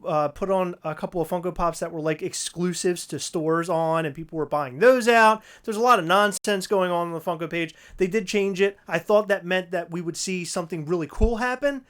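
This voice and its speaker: A podcast host, slightly hoarse, chatting on a livestream and ranting loosely with plenty of fillers and restarts. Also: medium pitch, a little fast, hardly any pauses, a bit bright. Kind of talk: casual livestream chat.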